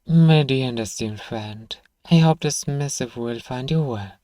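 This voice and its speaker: Male voice for fantasy ASMR roleplay, gruff but kind apothecary, mid pitch, warm and relaxed, dry close recording. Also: unhurried, no echo. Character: gruff but soft-hearted apothecary, reading a letter aloud, exasperated. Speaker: audio roleplay performer. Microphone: close, dry microphone.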